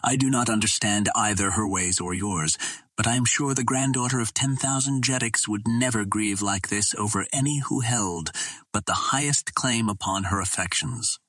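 A man voicing a grave young green Martian woman in an audiobook, speaking in an earnest, measured way. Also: lowish voice, a bit bright, no echo.

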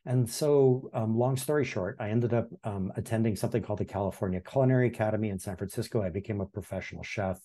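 A man in his sixties from Hawaii, telling his life story in a relaxed, reflective way as a podcast guest, with frequent ums and restarts. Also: lowish voice, fast, hardly any pauses. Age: 60s. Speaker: podcast guest, former history teacher. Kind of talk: casual interview storytelling.

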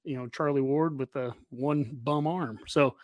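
A man's voice: medium pitch, steady tone, unhurried, dry recording. An American man hosting a casual sports discussion, talking in an emphatic, opinionated way. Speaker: podcast host.

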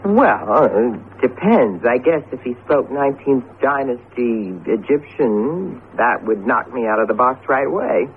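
An actress playing a chatty, excitable wife in a radio drama, affectionate and anxious, with an exclamatory, expressive delivery. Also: female voice, very deep voice, a little slow, hardly any pauses. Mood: teasing. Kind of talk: 1970s radio drama acting. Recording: muffled, noisy vintage radio broadcast.

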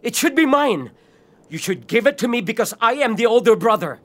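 An actor playing a greedy, impatient older brother with a hot temper and a demanding, blustering manner in a children's radio play. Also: male voice, very high voice, animated, brisk. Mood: angry, demanding. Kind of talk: children's audio drama acting.

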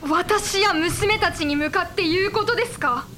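A woman's voice: extremely high pitch, normal speed, sharp tone, a little hiss or hum. A woman playing an anguished, principled noblewoman in a Japanese period voice drama, speaking formally and earnestly. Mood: sorrowful, dismayed. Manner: formal, polite, earnest pleading. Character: anguished, principled samurai noblewoman, warlord's younger sister.

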